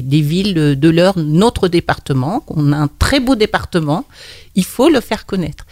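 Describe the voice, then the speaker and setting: A woman's voice: mid-range voice, a little mellow, no echo, clean studio sound. An older retired woman, chatty and full of hesitations, talking as a guest on a local radio show. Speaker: guest, association coordinator. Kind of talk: casual radio interview.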